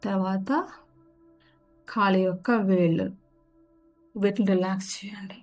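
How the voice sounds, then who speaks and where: A woman gently guides a relaxation meditation, giving unhurried step-by-step instructions. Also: mid-range voice, steady tone. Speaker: yoga nidra guide. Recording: music behind the voice. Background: background music.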